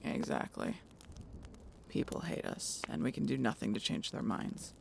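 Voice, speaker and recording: A woman plays a cynical, guarded female harpy in a fantasy audio roleplay. Her voice is heavily fried and gravelly, with pitch that moves around a lot. She sounds curt and sardonic, as if everything is a grudging chore. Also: huge pitch swings, normal speed, noisy background, full character voice. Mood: bitter.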